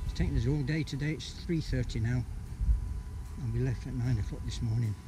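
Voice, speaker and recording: A man's voice: medium pitch, a little fast, over music, rough recording. Older man narrating from a sailboat deck. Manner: chatty, thinking aloud with fillers. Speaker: skipper filming his sailing trip. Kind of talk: casual travel narration. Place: outdoors on the deck of a sailboat at sea. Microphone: action camera on the boat.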